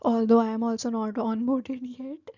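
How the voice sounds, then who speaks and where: A woman's voice: a little high, level tone, moderate pace, mellow, no room sound, dull audio. A young woman with an Indian English accent, whose first language is Hindi, giving casual career advice to her viewers with many "like" fillers. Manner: chatty, many "like" fillers, informal. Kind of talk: casual advice talk to camera.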